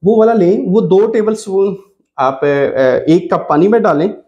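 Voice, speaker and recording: A Pakistani-accented man speaks in a slightly high register, like a doctor advising followers directly. His delivery is informal and instructive, and he repeats important points for emphasis. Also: expressive, measured pace, a little mellow, highs cut off. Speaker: doctor giving diet advice. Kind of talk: informal instructional health talk to camera.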